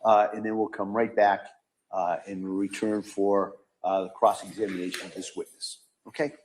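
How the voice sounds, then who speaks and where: A man speaking as a judge in a measured, halting way, announcing a recess in court. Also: lowish voice, flat delivery, a little hiss or hum.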